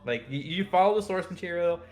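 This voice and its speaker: A man's voice: sits high, lively intonation, fairly quick, a little mellow, soft background music. A man co-hosting a casual show, bantering and rambling in informal slang. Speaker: show co-host. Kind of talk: casual banter about video game movies.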